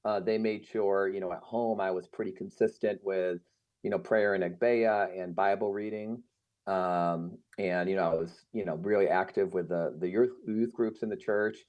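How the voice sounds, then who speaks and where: A man's voice: deep voice, steady tone, moderate pace, darkish tone. A middle-aged man raised in the United States, talking casually and thoughtfully with frequent fillers. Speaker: guest. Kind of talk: casual conversation. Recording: muffled lo-fi sound.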